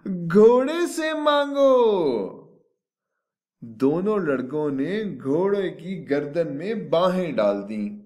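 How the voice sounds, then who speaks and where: Animated male storyteller with a lively, higher-pitched voice. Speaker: storyteller and narrator. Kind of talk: expressive storytelling narration of a children's story.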